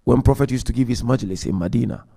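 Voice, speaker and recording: A man giving a Muharram religious lecture, counting off numbered points, chanting Quran verses quickly, and swinging between loud emphasis and quiet asides. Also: mid-range voice, creaky, husky. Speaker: Muharram majlis speaker.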